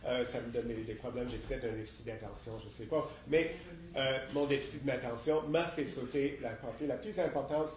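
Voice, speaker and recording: A man's voice: mid-range voice, a little mellow, a little hiss or hum. A man with a head cold answering questions at a public council meeting, hesitant and repeating himself as he explains. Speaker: municipal council member. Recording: echoey meeting room with people murmuring.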